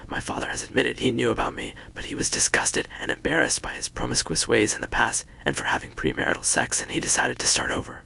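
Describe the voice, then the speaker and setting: A man narrating a scripted first-person story in a hushed, breathy whisper. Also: medium pitch.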